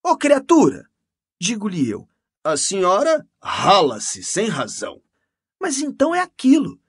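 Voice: mid-range voice, breathy edge, no echo, studio quality. A reader voicing a pompous, self-satisfied, gossipy male priest who exclaims and repeats himself unctuously in an audiobook story.

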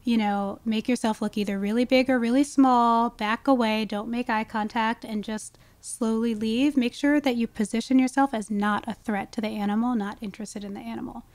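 A woman's voice: a little high, steady tone, normal speed, hardly any pauses, darkish tone, no echo. A woman speaking as an expert guest in a conversational podcast interview, explaining things with emphasis. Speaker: guest, wildlife ecologist.

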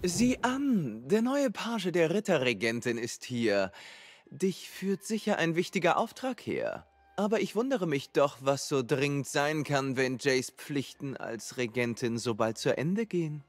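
A man's voice: a little high, very animated, unhurried, no echo, heavily acted. A man playing a haughty, scheming veteran court minister in a fantasy game, condescending and pompous. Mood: sneering curiosity. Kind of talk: theatrical fantasy game dialogue.

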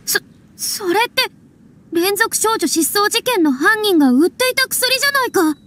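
Anime game lead: theatrical former goddess, boyish speech, extremely high, proud but easily rattled. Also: female voice, animated, moderate pace, a bit bright. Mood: alarmed. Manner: boyish speech, stammering when flustered.

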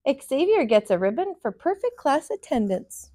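An American woman in her thirties, a teacher reading a picture book aloud to children in an expressive, sing-song way. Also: sits high, normal speed. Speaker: kindergarten teacher, storyteller. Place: small furnished room, indoors.

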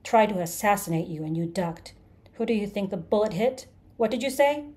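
A woman's voice: mid-range voice, animated, fairly quick, dark tone, close to an everyday voice. Woman voicing a snarling, vengeful male creature. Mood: contemptuous anger. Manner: snarling, hissing, sarcastic. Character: vengeful, bitter, menacing male creature, battered and hateful. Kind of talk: dramatized audiobook character.

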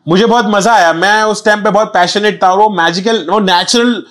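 A young man with a Mumbai Hindi accent, telling stories in a casual interview with lively, repetitive street slang. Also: high voice, moderate pace. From Mumbai, India. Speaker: rapper guest. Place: small studio set with chairs.

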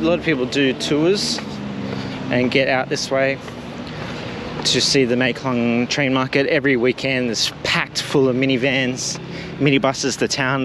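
A man, a teacher and travel vlogger, chatting casually and rambling a little as he walks through a town and films on a handheld camera. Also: mid-range voice. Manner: chatty, rambling, self-deprecating. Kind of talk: casual walking commentary. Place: outdoors on a town street and bridge. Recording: street noise behind the voice.

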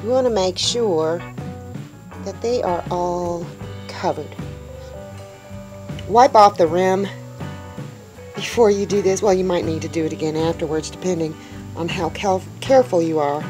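A woman's voice: on the low side, unhurried, long pauses, music in the background. A woman making a homestead vlog, chatting casually to her viewers as she shows a cooking step by step. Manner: chatty, upbeat, talks to viewers as folks. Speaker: homestead vlogger. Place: indoors in a small tiny-house kitchen.

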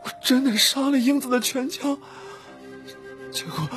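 A man's voice: very high voice, animated, unhurried, a bit bright, faint music behind, exaggerated voice. A man playing an anguished, unstable young Taoist in an audiobook, with intense, agitated delivery. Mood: self-loathing. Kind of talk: dramatic audiobook acting.

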